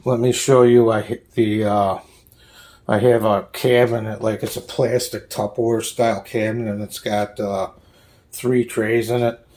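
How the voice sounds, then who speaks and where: A man giving a casual, unscripted show-and-tell as a hobbyist, halting often and searching for names as he goes. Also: lowish voice, level tone, darkish tone. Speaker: hobbyist showing a radio collection.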